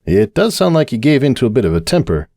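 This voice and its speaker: A man doing a calm, level-voiced stallion bartender. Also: quick pace, gravelly, dry recording, clean studio sound, exaggerated voice. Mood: soothing. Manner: quiet, low and level.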